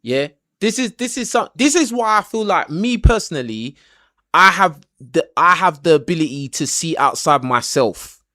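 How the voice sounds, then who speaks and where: A man's voice: on the high side, lively intonation, normal speed, bright, forceful tone, dry recording, clean studio sound. A man hosting a livestream debate, cutting in and repeating himself as he talks over his callers. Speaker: livestream host. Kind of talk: casual debate chat.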